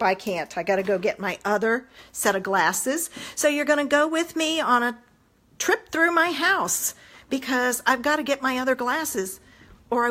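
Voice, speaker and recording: Chatty hoarse woman, live craft stream. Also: on the high side, fairly bright tone. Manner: chatty, rambling, talks straight to viewers. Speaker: papercraft demonstrator. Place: home living room, indoors. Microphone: phone or tablet camera at arm's length.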